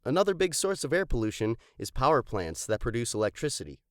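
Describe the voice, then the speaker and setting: A woman voicing a curious, practical young explorer in an expressive children's storybook read-aloud. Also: a bass voice, fast, dry recording, clean studio sound, pitched below the performer's own voice. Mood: curious, earnest. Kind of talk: dramatized children's storybook dialogue.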